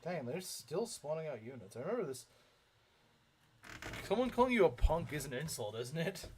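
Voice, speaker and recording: Lively, rambling young man streamer. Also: on the high side, moderate pace, a little hiss or hum. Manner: rambling, heavy filler words, frequent chuckles. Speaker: game streamer. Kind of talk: casual live-stream commentary reading chat.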